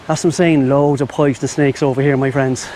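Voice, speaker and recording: A man's voice: medium pitch, fairly even intonation, brisk, a little mellow, slight background noise. An Irish man rambling casually to camera as a travel vlogger, outdoors on a lavalier mic. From Ireland. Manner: rambling, chatty, addresses viewers as "my friends". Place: outdoors in Thai countryside.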